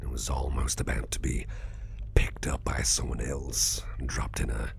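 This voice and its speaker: A man playing a suspicious, menacingly calm crime boss in a whispered ASMR roleplay, slow and deliberate with a coaxing edge of threat. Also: heavily acted. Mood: probing, suspicious. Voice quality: very deep, gravelly, breathy whisper.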